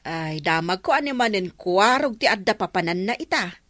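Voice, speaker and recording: A woman's voice: medium pitch, expressive, moderate pace, a bit bright, dry recording, studio quality, lightly acted. A woman playing a nosy, chatty village neighbour in a radio comedy drama, with an Ilocano accent.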